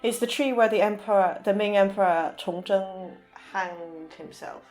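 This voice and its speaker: A woman's voice: mid-range voice, moderate pace, a little mellow. A woman speaking as a podcast guest in casual, somewhat hesitant conversation, often rephrasing as she goes. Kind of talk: casual chat.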